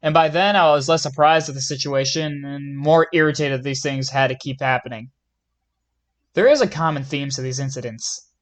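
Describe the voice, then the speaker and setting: A man's voice: mid-range voice, steady tone, normal speed, close to an everyday voice. A man playing a police lieutenant giving scripted interview answers in a plain, matter-of-fact way.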